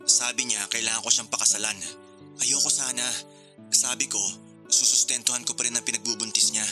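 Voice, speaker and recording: An actor playing a joking, nervous young groom with cold feet in a Filipino radio drama, hesitant and confiding. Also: male voice, medium pitch, steady tone, fairly quick, bright, forceful tone, faint music behind. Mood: troubled, confessing.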